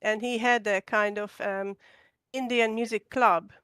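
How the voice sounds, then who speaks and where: A woman's voice: medium pitch, steady tone, a little slow, fairly bright tone, clear, resonant tone, dry recording, clean studio sound. A woman from Hungary talking as a guest in a casual chat, hesitant, with many ums and restarts. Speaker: guest artist.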